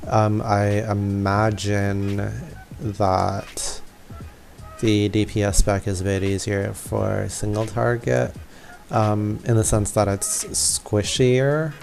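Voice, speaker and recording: A man's voice: fairly low pitch, little pitch movement, takes it slowly, gravelly, darkish tone. A man giving casual, laid-back walkthrough commentary over a video game. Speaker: gamer narrating a walkthrough. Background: faint game music.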